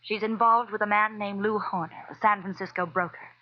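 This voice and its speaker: Casting: actress as tough, worried American noir client, mid-pitched, clipped and insistent, muffled old radio recording. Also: female voice, fairly even intonation, fairly quick, natural voice. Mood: worried, urgent. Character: brisk, demanding woman client, tough but worried. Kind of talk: 1940s radio noir acting.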